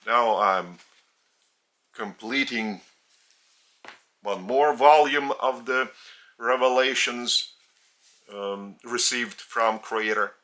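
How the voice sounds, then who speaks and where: A man with a Lithuanian accent, a native Lithuanian speaker, giving a spiritual teaching in a deliberate, halting way with emphasis on key words. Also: mid-range voice, sharp tone. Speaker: spiritual teacher and religious leader. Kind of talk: spiritual sermon addressed to camera. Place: indoors.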